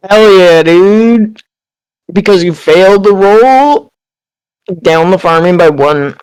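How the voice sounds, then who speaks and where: A man's voice: high-pitched, slow, a little mellow, clean tone, poor audio. A man bantering casually in a party game with friends and reading out character lines.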